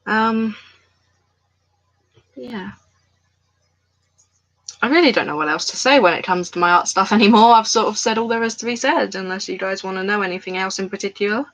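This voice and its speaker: A woman's voice: mid-range voice, normal speed, pauses often, a bit bright. A woman chatting casually on a live stream while doing crafts at home. She rambles and talks straight to the viewers in her chat. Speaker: crafts livestreamer. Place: at home, indoors.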